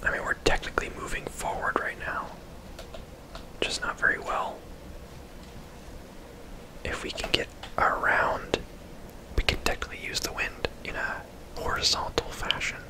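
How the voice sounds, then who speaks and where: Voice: measured pace, pauses often, low-quality recording. A person whispering casual, chatty ASMR commentary while playing a video game.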